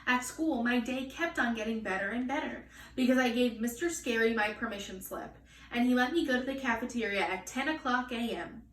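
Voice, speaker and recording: Higher-voiced woman reading aloud, echoey room. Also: brisk. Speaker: children's story reader. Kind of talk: expressive storybook read-aloud. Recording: echoey room, distant mic, faint noise.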